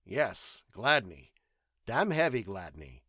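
A man voicing a gruff, sly winged alien pilot who speaks in terse, broken English bursts for an audio story. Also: fairly low pitch, sing-song, takes it slowly, sharp tone, no echo, studio quality, heavily acted. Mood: sly, smug.

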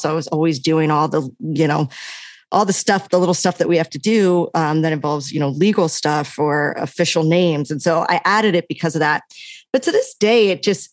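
A middle-aged American woman, a sports journalist, chatting as a guest in an interview, animated and laughing often. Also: fairly low pitch, dry recording. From United States. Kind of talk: casual interview talk.

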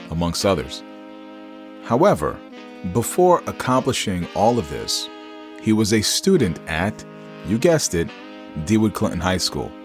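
Male podcast host, low pitch, informal delivery, music behind. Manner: informal, slangy. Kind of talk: casual banter and scripted narration.

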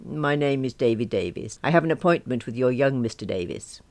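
A woman voicing a calm, wry middle-aged man with a smooth, deep voice, in a storybook reading. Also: fairly quick, no room sound. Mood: polite. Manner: dry, teasing.

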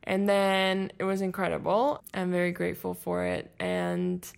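A woman's voice: lowish voice, big pitch swings, normal speed, gravelly, clean tone. A young woman from Latvia with a Latvian accent, chatting as an interview guest and telling her story with many fillers. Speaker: guest, showroom agency owner. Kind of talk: casual interview chat.

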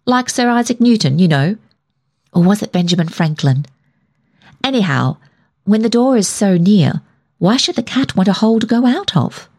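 Woman putting on a plaintive, exclaiming storybook wife, pitched higher. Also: very animated, normal speed, a little mellow, breathy edge. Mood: amused.

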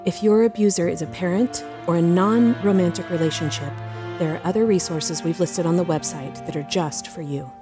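A woman with a slightly low voice reads a scripted podcast message. She is measured, earnest and supportive, with clear music playing behind her. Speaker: podcast cast member and announcer.

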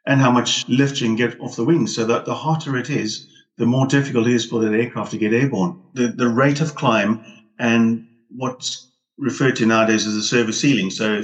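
A man's voice: mid-range voice, low-quality recording. A man speaking as an expert pilot guest, explaining technical points informally and building them up phrase by phrase.

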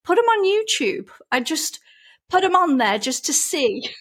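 A woman's voice: extremely high pitch, big pitch swings, unhurried. A middle-aged woman with a broad Lancashire accent, chatting and explaining things in a casual interview. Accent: broad Lancashire English. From Lancashire, England. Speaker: interview guest, painting teacher.

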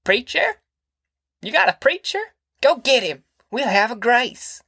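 A woman voicing a shrill, pious and spiteful old grandmother. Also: sing-song, measured pace, vocal fry, a bit bright, breathy edge, no echo, clean studio sound, exaggerated voice.

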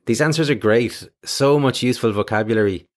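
A man hosting an English lesson, clearly explaining vocabulary and phrasal verbs with light personal asides. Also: mid-range voice, a little fast. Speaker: English teacher and host.

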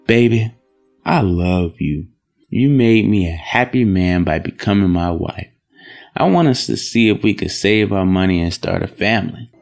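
A man's voice: deep voice, moderate pace, creaky, darkish tone, soft background music. A man acting a devoted young farmer husband in an audio drama, speaking in an earnest, folksy, plain-spoken way. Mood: tender.

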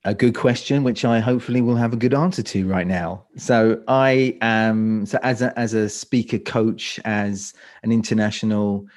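A man's voice: fairly low pitch, steady tone, normal speed, hardly any pauses, darkish tone. A man with a London accent, a speaker coach answering interview questions, often restarting and repeating words as he thinks. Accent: London English. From England.

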